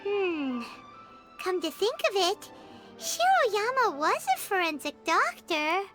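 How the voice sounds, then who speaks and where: A tiny, curious fairy with an extremely high, squeaky voice. The delivery is slow and swoops around in pitch, easily startled, like a game sidekick, over clear music. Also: female voice, very animated, a bit bright, exaggerated voice. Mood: startled, pondering. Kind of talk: video game dialogue acting.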